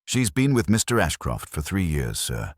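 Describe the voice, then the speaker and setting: A man's voice: deep voice, huge pitch swings, normal speed, no echo, clean studio sound, full character voice. A man voicing a respectful middle-aged woman of the household, reporting things plainly.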